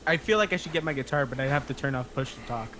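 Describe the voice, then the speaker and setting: A man's voice: medium pitch, rapid-fire, a bit bright, slight background noise. A man talking casually with a group over online voice chat. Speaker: participant in an online script table read.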